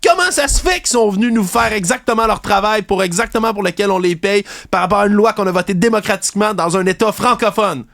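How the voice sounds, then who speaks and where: A man's voice: quick pace, hardly any pauses, a bit bright, no echo, clean studio sound. A man with a Québécois accent hosting a commentary show, ranting with indignation and sarcasm about a news story. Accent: Québécois French. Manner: indignant, ranting, sarcastic, mocks opponents in high voices. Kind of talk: heated opinion commentary.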